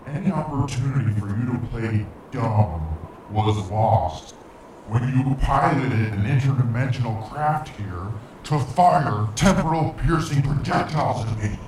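A man's voice: medium pitch, animated, a little slow, a little mellow, lightly acted. A man playing a vengeful, grieving ancient interdimensional monster in a sci-fi audio drama, speaking in grandiose, formal menace. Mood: cold fury. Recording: noisy, echoing, distant-sounding.

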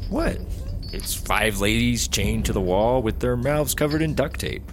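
Actor as an excitable, gullible guy, swooping pitch. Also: unhurried, background noise, lightly acted. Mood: naively upbeat. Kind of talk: sci-fi comedy audio drama acting.

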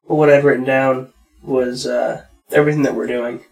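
A man with a mid-pitched, gravelly voice and plenty of vocal fry. He co-hosts a podcast in a relaxed, reflective and plain-spoken way. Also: normal speed, rough recording. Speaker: podcast co-host. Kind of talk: casual conversation.

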